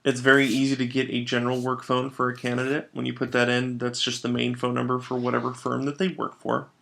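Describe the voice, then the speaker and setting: A man narrating a step-by-step software tutorial as a trainer, explaining each step in an instructional way. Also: mid-range voice, completely flat delivery, quick pace, vocal fry, slight background noise.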